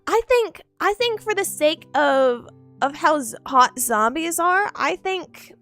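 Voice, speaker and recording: Woman, just woke up, loose playful chatter, lots of repeats, high voice. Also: lively intonation, slow pace, a bit bright. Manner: playful, giggly, repeats herself a lot. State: just woken up, groggy. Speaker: VTuber streamer. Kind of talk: casual chat stream talking to viewers. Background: faint background music.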